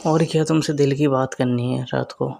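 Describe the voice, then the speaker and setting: A man's voice: mid-range voice, steady tone, normal speed, vocal fry, a little mellow. Young man teasing on a private call.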